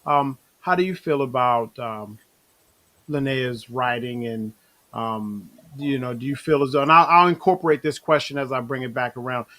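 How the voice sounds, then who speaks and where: A man's voice: mid-range voice, normal speed, sharp tone. A man hosting a live online talk show, interviewing the cast of a stage play in a loose, chatty way. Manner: loose, restarting, addresses guests as y'all. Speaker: show host. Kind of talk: casual live-stream interview.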